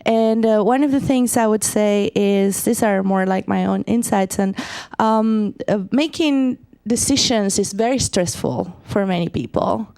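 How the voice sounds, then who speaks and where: A woman's voice: mid-range voice, unhurried, creaky, mellow, clean tone. A young woman from Venezuela in the audience, offering comments in a thoughtful, hesitant way. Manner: hesitant, thoughtful, many fillers. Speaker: audience member asking a question.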